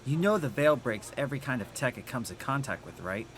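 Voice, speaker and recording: A man's voice: mid-range voice, lively intonation, a little fast, a bit bright, slight background noise. A man playing a breezy, snide assistant manager of a coffee shop in an audio drama. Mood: curious, casual.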